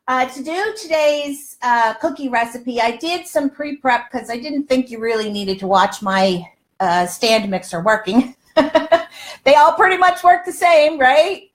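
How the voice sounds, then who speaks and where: Older female cooking host, on the high side, chatty and exclamatory, talking straight to viewers in a reverberant home kitchen. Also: expressive, unhurried. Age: older, around 60s. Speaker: health coach and cooking show host. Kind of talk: casual live cooking demonstration. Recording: roomy, echoey home kitchen sound.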